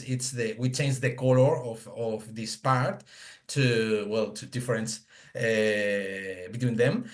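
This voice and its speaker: A man gives an unscripted webinar product demonstration, halting and searching for words, on a video call. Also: mid-range voice. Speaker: product presenter. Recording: echoey room on a video call.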